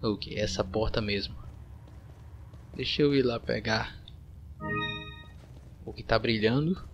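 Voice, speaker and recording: A man's voice: lowish voice, slow, creaky. Brazilian man doing casual game commentary over music. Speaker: gameplay host. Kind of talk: casual let's-play commentary.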